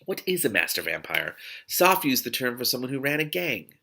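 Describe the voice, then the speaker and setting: A man's voice: mid-range voice, big pitch swings, moderate pace, gravelly, pushed and bright. A man reading a novel aloud expressively and reacting to it with exuberant asides.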